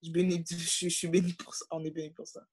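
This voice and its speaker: A woman's voice: on the low side, flat delivery, fast talker, a little mellow, slightly rough, no echo. A young woman with a Québécois French accent, a student guest speaking candidly on a video call. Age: young adult. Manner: candid, conversational, fillers like "tu sais". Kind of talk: casual interview over video call.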